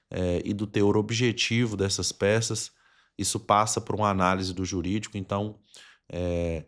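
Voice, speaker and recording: A Brazilian man hosts a podcast in a deep voice. He speaks in formal, wordy sentences, setting things out as explanatory monologue. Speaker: podcast host.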